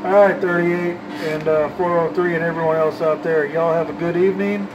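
Man, higher-pitched voice, folksy CB slang, casual radio chat, loud vehicle and fan noise. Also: steady tone, brisk, lo-fi sound. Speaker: CB radio operator and vlogger.

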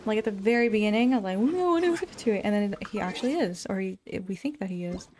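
A young woman streaming a game and commenting casually as she plays. Her voice is medium in pitch, with faint game music underneath. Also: lively intonation, fast talker. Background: video game music.

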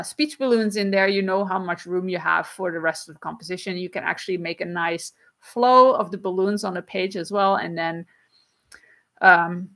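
A woman's voice: fairly low pitch, creaky, a bit bright. Chatty woman hosting a home livestream. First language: not English. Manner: chatty, frequent "um"s and quick laughs, gestures while explaining. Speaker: comic artist and livestream host. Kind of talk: casual livestream Q&A. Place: small home room with bookshelves, indoors. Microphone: webcam at desk distance.

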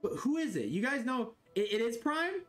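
A young man from Florida streaming a video game from his bedroom, joking, swearing and reacting loudly. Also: very high voice, big pitch swings, normal speed, faint music behind. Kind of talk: casual game-stream commentary. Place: small bedroom indoors. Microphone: desk mic, wearing a headset.

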